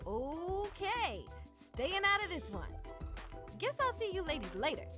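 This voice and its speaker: A woman's voice: very high voice, big pitch swings, a little slow, music in the background, full character voice. A woman playing a sassy, confident hippo in a video game, with a wry manner. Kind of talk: video game character acting.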